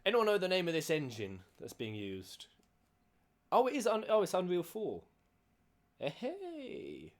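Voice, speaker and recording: A man hosting a reaction video, slangy and excitable, talking to viewers over game audio. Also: sits high, measured pace, pauses often. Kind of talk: casual live reaction commentary.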